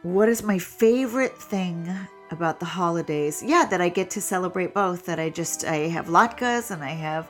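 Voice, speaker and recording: A woman's voice: on the low side, animated, moderate pace, few pauses, faint music behind. A middle-aged woman with a stuffy nose, co-hosting a relationship show in casual, chatty talk. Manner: chatty, laughs easily. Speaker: co-host. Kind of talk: casual chat.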